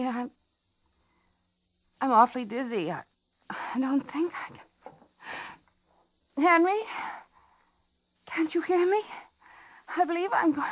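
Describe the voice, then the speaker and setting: An actress playing a polite, lonely older widow in a 1950s radio thriller, talking and narrating in a genteel, dramatic way. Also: female voice, sits high, animated, slow, long pauses. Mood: faint, frightened. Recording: dull 1950s radio recording, music behind.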